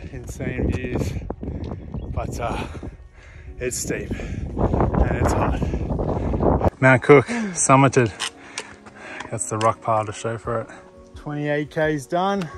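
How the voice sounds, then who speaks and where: Upbeat young Australian hiker vlogging. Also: male voice, mid-range voice, takes it slowly, long pauses. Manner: upbeat, stoked, chatty. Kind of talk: casual trail vlog to camera. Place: outdoors on a bush hiking trail. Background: music.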